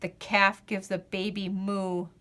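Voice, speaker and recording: A woman reading a children's picture book aloud as a storyteller, with expressive, deliberate phrasing and drawn-out refrains. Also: medium pitch.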